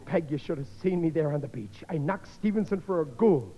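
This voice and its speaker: A muffled old radio broadcast in a reverberant hall carries an actor playing a blustering working-class husband. His high voice swoops widely. He is middle-aged, put-upon and excitable, in 1950s comedy style. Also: a little fast, soft background music, natural voice. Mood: boastful. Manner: blustering, exclamatory.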